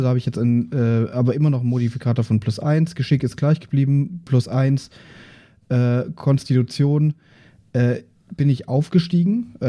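A man casually introducing his tabletop role-playing character in a halting, informal way. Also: mid-range voice, warm tone. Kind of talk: casual character introduction on an actual-play podcast.

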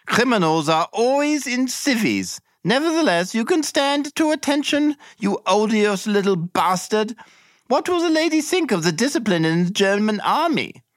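A man's voice: high voice, very animated, normal speed, no room sound, clean studio sound, pitched above the performer's own voice. A man voicing a blustering army captain who barks commands and insults. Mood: contemptuous. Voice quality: barking, shouted commands.

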